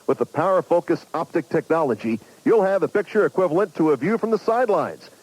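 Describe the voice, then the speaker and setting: A male announcer with a high voice reading a product commercial with enthusiasm. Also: expressive, fast, darkish tone, rough recording. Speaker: commercial voice-over. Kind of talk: scripted ad read.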